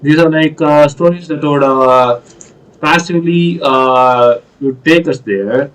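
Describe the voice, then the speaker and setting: A man giving casual playthrough commentary, halting as he searches for words. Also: on the high side, distant mic, low-quality recording. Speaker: gaming video creator.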